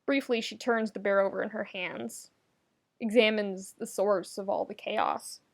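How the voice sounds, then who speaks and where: A woman reading comedic fiction aloud as a narrator, with an expressive, wry storytelling delivery. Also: mid-range voice, unhurried, creaky. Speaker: podfic narrator.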